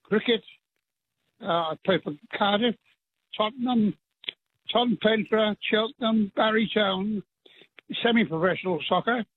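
A man's voice: high voice, moderate pace, long pauses. An older man from Wales with a Welsh accent, phoning in to a talk radio show and reminiscing in a rambling way. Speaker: caller. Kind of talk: casual phone-in chat. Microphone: telephone. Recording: tinny phone line with faint hiss.

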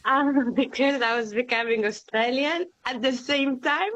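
A woman's voice: high-pitched, unhurried, fairly bright tone. Woman, from Somalia, poet guest, hesitant fillers, slightly dull recording. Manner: hesitant, many fillers, laughs often. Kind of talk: casual interview chat.

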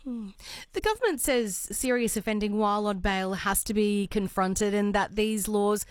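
Hoarse australian woman, middle-aged radio host, pausing often, dry close studio mic. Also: mid-range voice, no room sound. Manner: friendly, measured, with frequent "uh" pauses. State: hoarse, with a lingering cough. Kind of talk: talkback radio interviewing.

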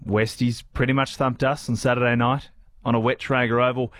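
Relaxed Australian radio host, man. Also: medium pitch, a little fast, dry recording. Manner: relaxed, chatty. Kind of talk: casual morning radio chat. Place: radio studio.

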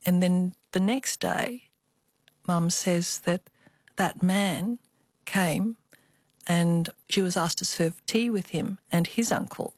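An older woman with an Australian accent, telling personal memories as an interview guest in a thoughtful, expressive way. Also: lowish voice, no room sound. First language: Cantonese. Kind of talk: reflective personal storytelling.

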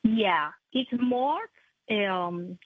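A woman, a prosthetist answering interview questions in a halting, self-correcting way. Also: medium pitch, breathy edge, dry recording. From Ecuador. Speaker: maxillofacial prosthetist, guest. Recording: tinny phone-like line, dry.

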